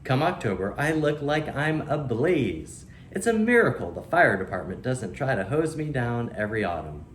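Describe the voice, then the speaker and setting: A man's voice: medium pitch, close to an everyday voice. Guy reading as a chatty proud oak tree from a kids book, wry n friendly, very up and down, roomy echo. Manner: chatty, dryly humorous, emphatic with deliberate pauses. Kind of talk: children's storybook read-aloud. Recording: roomy echo, faint background noise.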